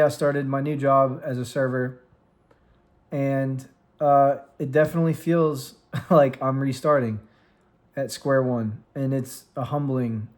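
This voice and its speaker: A man's voice: mid-range voice, darkish tone. A man in his late twenties from the United States, talking earnestly into a microphone at home in a casual, rambling vlog with many restarts. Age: 20s. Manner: earnest, rambling, with frequent restarts and trailing pauses. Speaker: vlogger and streamer. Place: room at home with lights, plants and a cat on his lap. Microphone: studio microphone.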